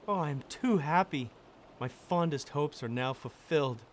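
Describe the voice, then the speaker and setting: A man's voice: fairly high pitch, normal speed, lightly acted. A man playing a gallant, lovestruck prince in an audio drama, with an earnest, courtly manner. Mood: joyful. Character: lovestruck gallant fairy-tale prince, adult male.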